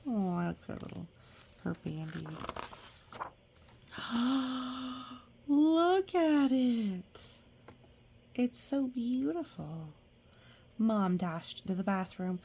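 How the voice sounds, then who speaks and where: A woman reads a picture book aloud at an easy, slow pace. Her voice is raspy with plenty of fry. She slips into chatty asides, explaining gently as if to small children. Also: a little high. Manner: expressive, exclaiming and gasping in reaction to pictures.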